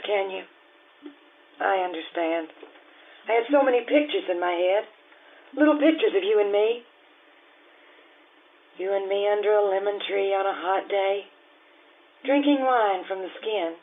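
A woman's voice: mid-range voice, expressive, a little slow, long pauses, natural voice. A woman playing an earthy, passionate cook in love, in old-time radio drama style, with a thin phone-like sound. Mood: wistful, resigned. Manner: pleading, then tender and resigned. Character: Anna, earthy, passionate cook woman pleading with her lover.

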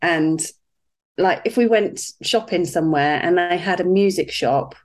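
Podcast guest, British woman, lower voice, chatty and relaxed. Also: measured pace. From United Kingdom. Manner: chatty, easygoing, with fillers. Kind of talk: casual interview chat.

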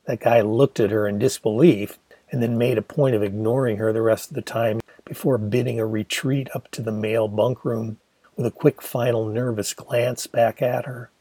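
An older man in his sixties reads his memoir aloud with a wry, easygoing storyteller's touch. His voice is mellow and slightly husky, and it sounds dry and close with no room around it. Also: mid-range voice, steady tone, moderate pace, dry recording. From Michigan, USA. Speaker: author reading his own memoir. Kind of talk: audiobook narration.

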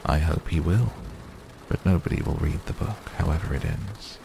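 A man voicing a grave old wizard in a story reading, watchful and quietly commanding, measured and thoughtful, on a noisy recording. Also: rumbling low, vocal fry, heavily acted.

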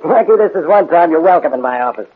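A gruff police inspector in a 1940s radio crime drama. He talks fast in a raspy, slightly high voice, grudging and sardonic, and the old broadcast sound is muffled. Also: expressive, faint music behind. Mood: grudging, blustery. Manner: blustery, sardonic. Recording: muffled narrow-band vintage 1940s radio recording.

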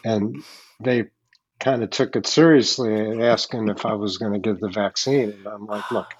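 A man co-hosting a podcast, rambling through wry stories with lots of pauses and fillers. His voice is mid-pitched, and the recording sounds slightly dull and lo-fi. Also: fairly even intonation, breathy edge, no room sound. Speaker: podcast co-host. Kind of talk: casual banter.

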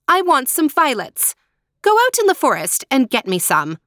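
A woman voicing a spoiled, lazy young girl who orders and shouts sharply in a storybook reading. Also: high voice, wildly swooping pitch, moderate pace, dry recording, clean studio sound, voice pushed up, heavily acted. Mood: bossy shouting.

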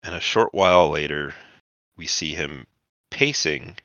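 Man, very deep voice, bright tone, close dry recording, game master narrating a tabletop roleplaying game. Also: a little slow, dry recording, muffled recording.

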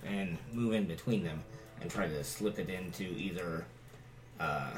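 A man playing a tabletop game, planning his moves with halting fillers. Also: low voice, music in the background. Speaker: player. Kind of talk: casual chat at a game table.

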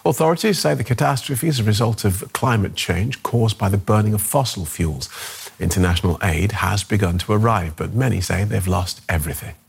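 Gravelly, husky low-voiced male newsreader. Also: brisk. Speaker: news presenter. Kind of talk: scripted news reading.

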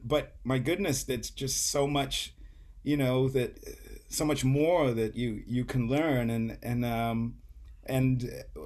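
A man's voice: mid-range voice, darkish tone. Rambling man, full of restarts. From Saint Kitts. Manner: rambling, self-interrupting, laughs often. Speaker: guest musician. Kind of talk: relaxed interview.

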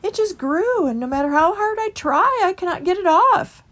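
A woman's voice: extremely high pitch, expressive, a little slow, pitched above the performer's own voice, full character voice. A woman voicing an earnest young male mouse who carries a heavy burden.

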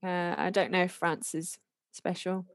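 A woman's voice: on the low side, little pitch movement, unhurried, no room sound. Hesitant, praising woman in a dry room. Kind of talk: casual podcast conversation.

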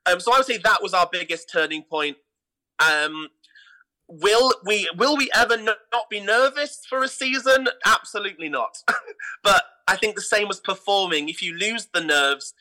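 British guy being interviewed, really bright sharp voice, a bit high, super enthusiastic and chatty. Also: moderate pace, rough recording. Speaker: guest, artistic director of a pantomime theatre company. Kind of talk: conversational interview answers.

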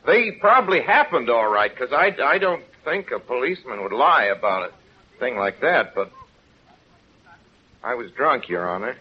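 Actor as a lively, halting small-town fellow. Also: male voice, medium pitch, lightly acted. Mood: halting, folksy. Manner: halting, folksy, sincere. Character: earnest, plain-spoken, folksy small-town young man. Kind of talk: 1930s radio drama acting. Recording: dull, noisy 1930s radio recording.

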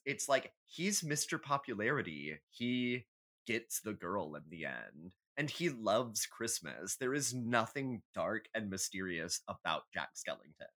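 A man's voice: fairly low pitch, a bit bright. A man co-hosting a podcast, chatting casually and comically in a sassy, opinionated way with plenty of swearing. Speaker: podcast co-host. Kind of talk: casual comedic astrology chat.

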